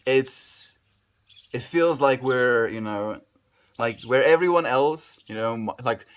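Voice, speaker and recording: A man's voice: mid-range voice, slow pace, clean tone. A man with a South African accent, streaming live and chatting casually with viewers, easygoing and full of dude and bro. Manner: easygoing, calls viewers dude and bro, trails off mid-sentence. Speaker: livestreamer. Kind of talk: casual livestream chat answering viewers.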